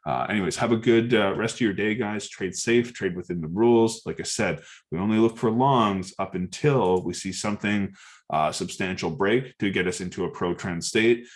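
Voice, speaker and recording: A young man with a low, deep voice talks through trading charts in a loose, casual way. He swears freely and fills his speech with ums, uhs and restarts. He is recorded on a desktop mic in an indoor room. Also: moderate pace. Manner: loose, profane, many fillers and restarts. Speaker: trading educator. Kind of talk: casual screen-share market breakdown.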